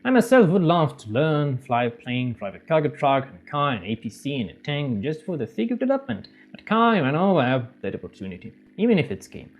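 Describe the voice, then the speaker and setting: A man hosting a gaming channel, recapping a game's story in halting non-native English and breaking off for joking asides. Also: on the high side, big pitch swings. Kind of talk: game story recap with commentary.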